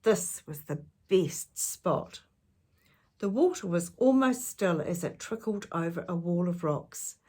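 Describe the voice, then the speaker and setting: A woman reading a children's storybook aloud, with measured, expressive narration for young listeners. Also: lowish voice.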